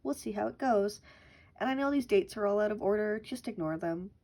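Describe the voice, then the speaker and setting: A young woman hosts a budgeting channel from home, chatting casually as she counts bills aloud. She is sick with a cough, and her voice sits at an ordinary middle pitch. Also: lively intonation, fairly quick, a little mellow. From Canada. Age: young adult. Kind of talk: casual chat while counting cash.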